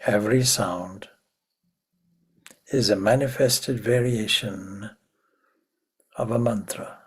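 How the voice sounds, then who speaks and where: A man with a very husky, breathy voice leads a guided meditation at a very slow pace. His pitch sits on the low side, and he speaks in a hushed, measured way, leaving long pauses between short phrases. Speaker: meditation teacher, swami.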